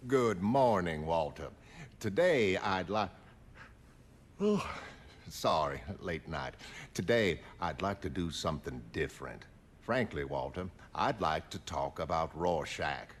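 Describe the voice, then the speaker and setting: A man's voice: sits low, animated, takes it slowly, exaggerated voice. A man playing an earnest, mild middle-aged prison psychiatrist in a motion comic, polite and hesitant. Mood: gentle, probing. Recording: music behind the voice.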